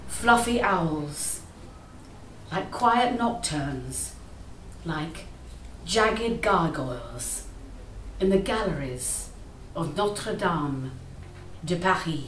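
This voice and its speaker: A woman's voice: on the low side, animated, very slow, long pauses, fairly bright tone. A woman actor giving a theatrical reading of poetry and drama in a small room. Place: small room with live audience. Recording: roomy, distant, faintly noisy.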